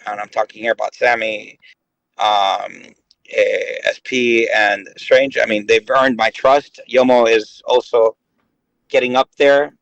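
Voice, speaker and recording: A man's voice: lowish voice, fairly even intonation, measured pace, creaky, fairly bright tone, no room sound, highs cut off. A man in his late thirties chatting casually on a voice call, rambling and hesitant with many fillers. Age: 30s. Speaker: gaming guest. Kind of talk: casual chat.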